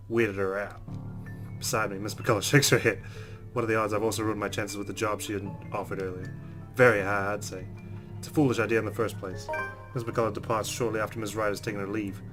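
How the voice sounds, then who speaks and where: A man with a fairly low voice narrates a visual novel as a let's play host. He reads inner monologue expressively and dramatically, over faint background music. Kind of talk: expressive read-aloud game narration with reactions.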